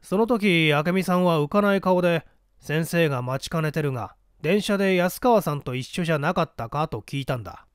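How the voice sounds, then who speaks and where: A man's voice: a little high, normal speed, clean tone, no echo, clean studio sound, close to an everyday voice. A man voicing a blunt, hot-headed newspaper reporter giving his account curtly in a mystery reading. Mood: recounting, brusque. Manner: curt, defensive. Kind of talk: dramatic storybook reading of a mystery.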